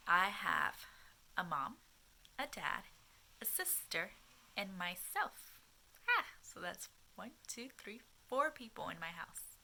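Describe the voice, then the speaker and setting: A woman reading a storybook aloud to young children, expressive and child-directed, pausing often and addressing her listeners. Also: mid-range voice, slight background noise, rough recording.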